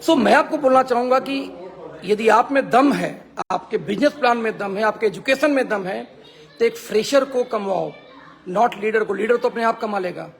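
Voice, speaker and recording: A hoarse man giving an emphatic motivational talk on a live stream as a team leader, repeating phrases for emphasis. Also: high-pitched, normal speed. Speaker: network marketing team leader.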